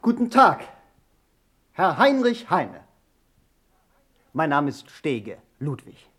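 An actor playing an eager male visitor in a radio play, speaking in a stiffly formal, halting way. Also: a little high, huge pitch swings, fairly bright tone, slight background noise, full character voice.

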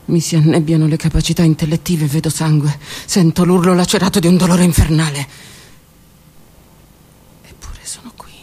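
A woman performing a theatrical one-woman monologue, delivered dramatically, moving between loud outbursts and hushed whispers. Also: deep voice, level tone, normal speed, pauses often, slight background noise. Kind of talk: theatrical monologue broadcast on radio.

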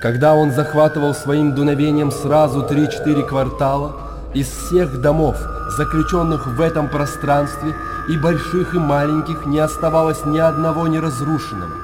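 An actor playing a chronicler who solemnly recalls disasters of old, in declamatory radio drama narration. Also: male voice, fairly high pitch, steady tone, normal speed, over music, close to an everyday voice. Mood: grave, bleak. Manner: grave, declamatory storytelling. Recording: clear background ambience noise.

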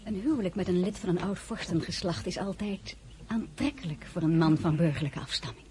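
An actress playing a dignified, quietly defiant French princess and mother in a historical radio drama, measured and often dropping to near whisper. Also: on the low side, lightly acted. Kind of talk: Dutch-language historical radio drama acting.

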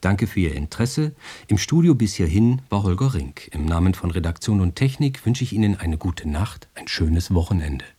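A man's voice: deep voice, big pitch swings, moderate pace, mellow, slightly rough. A native German man hosting radio from a studio, reading scripted programme announcements. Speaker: radio host.

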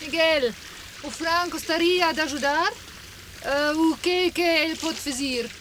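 A woman's voice: high voice, moderate pace, fairly bright tone, a little hiss or hum. An actress playing a practical woman who interprets for friends in a radio drama, speaking in brief phrases. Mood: helpful.

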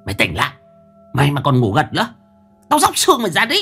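A shrill older woman barks threats and curses in a high, piercing voice that lurches all over the scale, as a male storyteller puts her on. She is bullying and hot-tempered, the tyrant of a ghost story. A soft band of music runs underneath. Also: big pitch swings, brisk, husky, full character voice. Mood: furious. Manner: scolding, barking threats.

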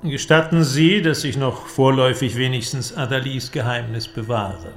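A man's voice: mid-range voice, normal speed, natural voice. A man playing a courteous, self-assured inventor in a formal audio drama. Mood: courteous, explaining. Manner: polite, formal, commanding. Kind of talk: theatrical audio drama dialogue. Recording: echoey hall, long reverb, faint noise.

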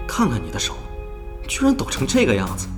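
A man's voice: medium pitch, wildly swooping pitch, a little fast. A man playing an arrogant young esports star in an audio drama, drawling with theatrical, taunting mockery. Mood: smug, taunting. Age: young adult. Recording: background music under the voice.

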